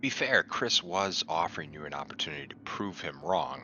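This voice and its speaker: A man playing in a tabletop game, chatting casually in a gruff, joking way, heard through a muffled mic. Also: a bass voice, lively intonation, a little fast, bright, forceful tone, slight background noise. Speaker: player. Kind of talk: casual chat.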